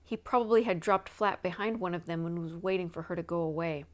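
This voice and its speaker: A woman's voice: on the low side, fairly quick. Woman reading a storybook aloud. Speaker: audiobook reader. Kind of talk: scripted storybook narration.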